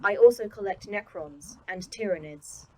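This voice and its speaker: A woman voicing a calm female AI that runs a secret facility, speaking in a precise, dry manner in an audio drama. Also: medium pitch, normal speed, close to an everyday voice, rough recording. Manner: precise, dry, oddly proud of hobbies.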